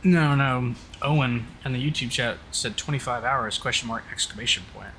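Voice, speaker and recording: A man's voice: medium pitch, moderate pace. A man from the southern United States chatting casually and teasingly while live-streaming a flight simulator. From southern United States (Oklahoma). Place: voice chat on a game stream. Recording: steady background noise.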